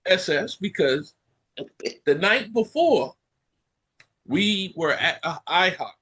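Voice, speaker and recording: A man co-hosting a podcast tells a story with lots of expression. His voice is bright and a little breathy, and his pitch swings widely as he acts out what other people said. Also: slow. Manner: animated storyteller who acts out other people's lines and laughs mid-sentence. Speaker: podcast co-host. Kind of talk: casual chat, storytelling.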